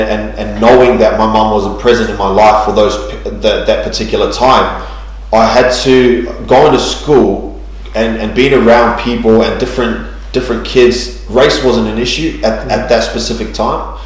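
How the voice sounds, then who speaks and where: A man's voice: lowish voice, fairly even intonation, moderate pace, heavy reverb, low-quality recording. A man, telling his life story in a church interview, hesitant with many ums and restarts. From New Zealand. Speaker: guest sharing testimony.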